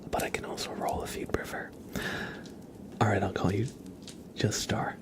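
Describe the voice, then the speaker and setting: An ASMR livestream host of unclear sex speaking gently and politely to viewers in a breathy, creaky whisper, close to the mic. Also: low-pitched, flat delivery. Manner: slow, gentle, polite, thanking and welcoming viewers. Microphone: close sensitive ASMR microphone.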